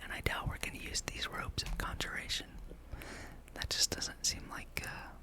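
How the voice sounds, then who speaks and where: A gaming ASMR host whispering softly and without voice in an unhurried, casual ramble over gameplay, close to the microphone. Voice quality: soft, unvoiced whisper.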